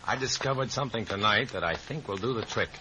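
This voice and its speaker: An actor playing a wary undercover agent in an old-time radio spy drama, speaking in a terse, sardonic way. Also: male voice, fairly low pitch, fast talker, background noise, natural voice. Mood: earnest, evasive. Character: wary, hard-boiled undercover agent posing as a comrade.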